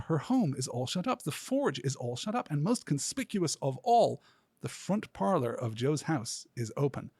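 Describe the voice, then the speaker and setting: A man with a husky, slightly rough voice delivers scripted commentary on literature. His phrasing is measured and bookish, with deliberate pauses. The recording is close and dry. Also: mid-range voice, darkish tone, dry recording. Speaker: host of a literature podcast.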